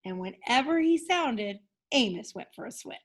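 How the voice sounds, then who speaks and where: Lady teacher reading a kids book out loud, high voice, expressive storytelling. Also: normal speed. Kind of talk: expressive children's story narration.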